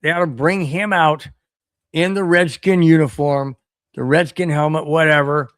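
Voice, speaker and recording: A man hosting a solo podcast stream, ranting animatedly and repeating himself while his voice wears hoarse. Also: a little high, fairly even intonation, moderate pace, fairly bright tone, dry recording, studio quality. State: voice getting hoarse. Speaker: podcast host. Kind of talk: solo livestream rant reading chat.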